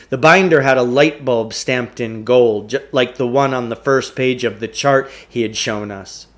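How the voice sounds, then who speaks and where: A man reading a children's novel aloud as a storyteller, in scripted narration. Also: medium pitch.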